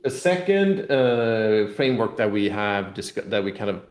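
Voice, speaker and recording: Guy w lowish voice, echoey room, game publisher being interviewed, kinda halting, repeats words. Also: very animated, a little mellow. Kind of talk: casual interview explanation.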